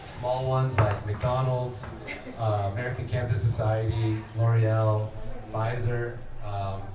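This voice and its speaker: A man hosting an event, introducing the next speaker in a relaxed, enthusiastic way. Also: on the low side, takes it slowly. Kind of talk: casual introduction. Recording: roomy, distant, noisy room.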